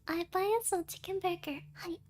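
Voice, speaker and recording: A woman speaks in a tiny, childlike voice pitched extremely high. She chats like a cutesy livestreamer, halting and excitable, hopping between languages as she thanks her viewers. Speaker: VTuber streamer thanking donors. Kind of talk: cutesy livestream chat with donation thank-yous.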